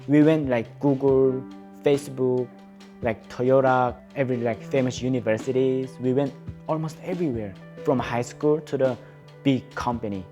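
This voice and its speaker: A young man from North Korea with a Korean accent, speaking English as a second language in an earnest, halting way, often restarting his sentences. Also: mid-range voice, fairly even intonation, warm tone, faint music behind. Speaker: advocacy speaker. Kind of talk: interview testimony.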